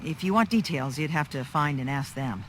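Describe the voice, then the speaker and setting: A woman acting a level-headed, weary harbor town leader in a video game, measured, firm and plain-spoken. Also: sits low, animated, fairly bright tone, slight background noise. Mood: wry, dismissive.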